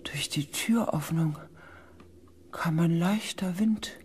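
A man playing a shaken, earnest expedition pilot in a science fiction radio play, with weighty, hushed dramatic delivery. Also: fairly high pitch, slow pace, a little hiss or hum. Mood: hushed, tense.

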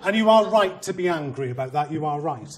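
Animated Welsh man giving a teachers' talk. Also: on the high side, a little fast. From Wales. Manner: animated and theatrical, acting out quoted voices, teasing the audience. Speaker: head teacher, conference speaker. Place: conference training room with seated audience.

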